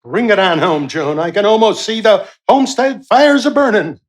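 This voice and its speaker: A man's voice: high voice, moderate pace, natural voice. A man acting in a radio play as a genial, teasing heavenly guide who talks in folksy cowboy phrases. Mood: encouraging.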